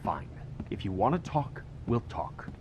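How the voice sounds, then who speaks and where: An actor playing a suspicious, easily riled husband in a game drama, speaking with sarcasm and indignation. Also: male voice, fairly low pitch, sing-song, a little slow, noisy background. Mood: resentful. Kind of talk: video game drama acting.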